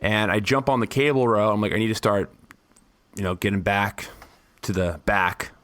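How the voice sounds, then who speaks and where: Young man, low voice, stuffy and hoarse, crude rambling chat, dry close mic. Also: steady tone, normal speed, fairly bright tone, no echo. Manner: crude, rambling, laughs often. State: stuffy, losing his voice. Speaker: podcast co-host. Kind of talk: casual chat.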